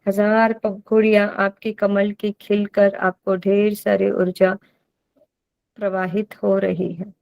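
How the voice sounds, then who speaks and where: A woman with an Indian accent leads a guided meditation as a teacher, giving deliberate instructions and repeating phrases for emphasis. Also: medium pitch, flat delivery, vocal fry, a little mellow, dry recording, rough recording.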